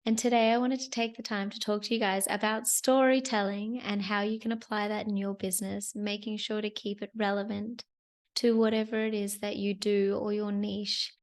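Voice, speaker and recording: A woman's voice: mid-range voice, little pitch movement, moderate pace. A young woman who has lost her voice, hosting a chatty solo business talk to the camera with repeated phrases. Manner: chatty, repeats phrases, lots of "you guys". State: hoarse, lost voice. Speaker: host.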